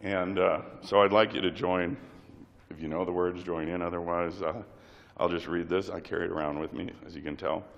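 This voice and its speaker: A middle-aged man with a slight cold opens a parish workshop in a hesitant, halting way, with many fillers. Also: low voice, steady tone, gravelly, lo-fi sound. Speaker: volunteer group president. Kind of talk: workshop opening and group prayer. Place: large parish hall. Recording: long hall reverb.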